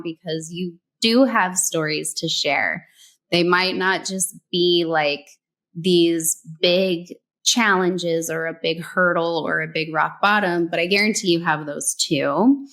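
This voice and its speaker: A woman from New Mexico, a brand story strategist, chatting casually as an interview guest and giving advice with many "like"s and "um"s. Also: on the low side. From New Mexico, USA. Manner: relaxed, conversational, many "like"s and "um"s.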